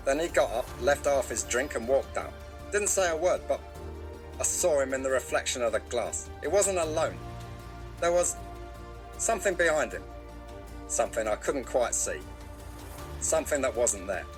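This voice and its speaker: A man playing an uneasy pub landlord in an audio drama, giving witness testimony in short, halting phrases. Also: medium pitch, lively intonation, faint music behind, close to an everyday voice. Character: uneasy male pub landlord recalling a stranger.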